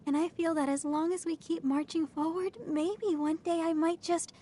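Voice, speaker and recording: A woman's voice: extremely high pitch, fairly even intonation, normal speed, warm tone, a little hiss or hum. A woman playing a sweet, earnest, perky teenage girl idol healer in an anime video game. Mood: self-doubting, hopeful.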